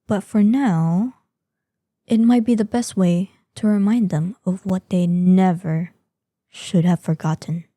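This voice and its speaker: Woman playing a slow, mellow, imperious ancient dragoness. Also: mid-range voice, steady tone, no echo, clean studio sound. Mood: proud, defiant. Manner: imperious, formal archaic diction, measured. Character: proud ancient female dragon in human form. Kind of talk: scripted roleplay audio drama monologue.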